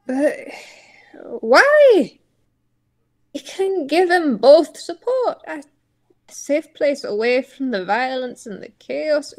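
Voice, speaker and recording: A woman voicing an old male tortoise uncle, solemn, protective and stubborn, pleading in halting, repeated words. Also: high-pitched, sing-song, a little mellow, voice pushed up, full character voice. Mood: anguished pleading. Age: older.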